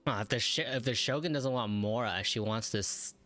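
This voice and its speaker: A woman's voice: extremely low pitch, normal speed, a bit bright. A woman playing a video game, giving casual reactions and reading out dialogue choices. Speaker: gamer reacting to gameplay. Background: faint game music.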